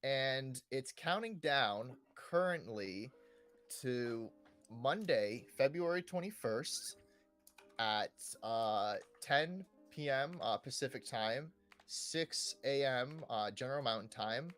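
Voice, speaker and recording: A man's voice: mid-range voice, lively intonation, slow, fairly bright tone, soft background music. A man hosting a casual gaming podcast, often ending his statements with little checking tag questions. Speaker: podcast host.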